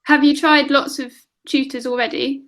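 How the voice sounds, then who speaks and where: A woman with a high, light voice chats as an online tutor over a video call. She fires off question after question and laughs often. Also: level tone, normal speed, a bit bright. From England. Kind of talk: casual conversation lesson.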